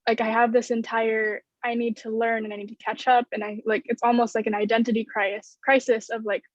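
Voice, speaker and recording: A woman's voice: a little high, no room sound. A young woman from the United States giving a reflective personal answer as a student panelist on a video call. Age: young adult. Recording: dull, lo-fi video call audio.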